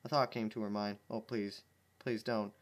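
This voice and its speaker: A man's voice: fairly low pitch, level tone, moderate pace. Man reading aloud with sarcastic asides. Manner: sarcastic, exasperated running commentary. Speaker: channel host reading fan fiction aloud. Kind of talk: dramatic reading with comic asides.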